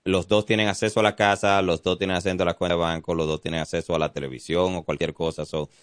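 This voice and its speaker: Brief: Dominican police officer on radio, very low voice, calm explainer, dry studio. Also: male voice, fast, clear, resonant tone, dry recording. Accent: Dominican Spanish. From Dominican Republic. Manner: explanatory, calm, many fillers. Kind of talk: informative radio interview. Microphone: studio mic.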